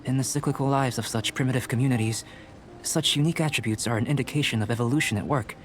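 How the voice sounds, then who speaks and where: A man playing a calm, detached young scholar and alchemist in a video game, speaking in a measured, formal, analytical way. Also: medium pitch, natural voice.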